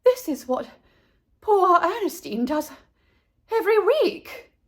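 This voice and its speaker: Woman as an exasperated mother, children's story, high voice, emphatic, very animated pitch. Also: slow, exaggerated voice. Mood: frazzled. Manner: calling out, emphatic. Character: anxious, exasperated mother searching for her daughter.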